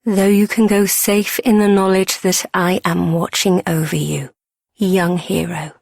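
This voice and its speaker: A woman playing a solemn, benevolent guardian spirit in a fantasy video game, with measured, archaic phrasing. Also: on the low side, little pitch movement, gravelly, a little mellow, no room sound, clean studio sound. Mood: solemn encouragement.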